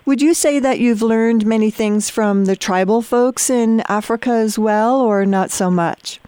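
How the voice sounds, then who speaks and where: A woman's voice: on the high side, a little slow, dark tone, clear, resonant tone, no echo. A woman hosting an interview, reading scripted introductions and asking curious questions. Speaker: host.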